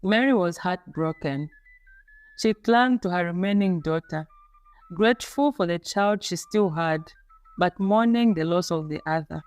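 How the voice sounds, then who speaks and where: A woman reading a scripted folktale as a storyteller, in a measured, dramatic storybook delivery. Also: fairly low pitch, darkish tone, clear, resonant tone, no room sound. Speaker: storyteller, narrator. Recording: music playing under the voice. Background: background music.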